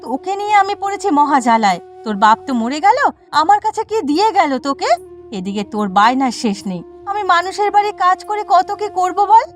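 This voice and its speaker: A woman's voice: very high-pitched, sing-song, a little fast, faint music behind. A woman playing a weary, exasperated village mother in a cartoon, pleading and scolding. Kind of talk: cartoon voice acting.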